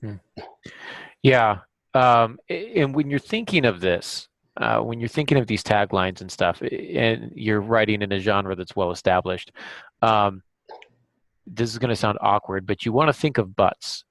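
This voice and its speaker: A man hosting a podcast, giving casual writing advice in a halting way, as if thinking aloud. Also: on the low side, animated, vocal fry, a bit bright, dry recording. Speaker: podcast host and novelist. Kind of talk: casual instructional discussion.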